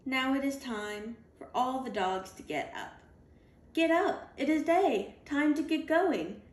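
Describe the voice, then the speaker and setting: A woman reading a children's picture book aloud, deliberately, in clearly spaced phrases for young children, recorded indoors at home. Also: high voice, expressive. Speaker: storybook reader. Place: indoors at home, on a leather couch. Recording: roomy home recording with background noise.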